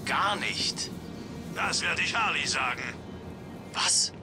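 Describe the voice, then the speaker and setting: A man's voice: fairly high pitch, lively intonation, slow, music in the background. An actor playing a nervous male thug henchman in a German game dub, jittery and complaining. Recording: loud noise and distant battle.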